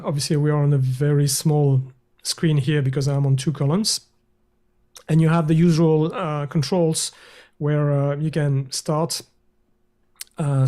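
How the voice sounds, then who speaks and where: A man, a guest developer, walking through a screen-share software demo over a video call, hesitant with many fillers and restarts. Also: a little high.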